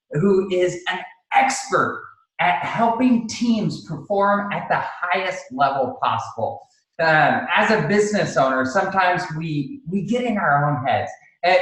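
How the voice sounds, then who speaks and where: A man's voice: on the high side, a bit bright. A man hosting a podcast, introducing his guest in an upbeat, enthusiastic way. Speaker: podcast host. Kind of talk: hosted interview introduction. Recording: very echoey room.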